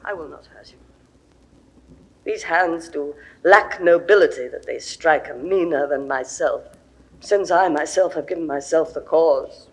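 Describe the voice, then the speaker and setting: A woman's voice: deep voice, unhurried, low-quality recording. An actress playing an imperious, lovesick Egyptian queen in a classical Shakespeare audio drama, with grand, theatrical delivery. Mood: wounded, brooding.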